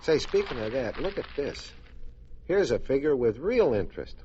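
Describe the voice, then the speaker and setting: Actor as animated, hearty radio-ad husband. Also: male voice, mid-range voice, normal speed, a little hiss or hum, lightly acted. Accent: American. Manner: hearty, folksy salesmanship. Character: jovial, opinionated husband pitching savings bonds. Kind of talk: 1940s-50s radio commercial acting. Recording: muffled old radio broadcast recording.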